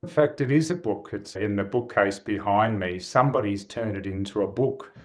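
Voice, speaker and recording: A man hosting an interview podcast, asking brisk, upbeat questions. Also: lowish voice, darkish tone. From Australia. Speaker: podcast host.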